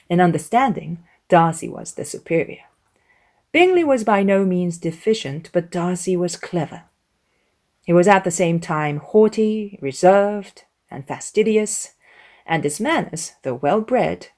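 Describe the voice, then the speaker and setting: A woman's voice: lowish voice, creaky, darkish tone. A woman reading a classic novel aloud as an audiobook narrator, in a scripted literary storytelling style.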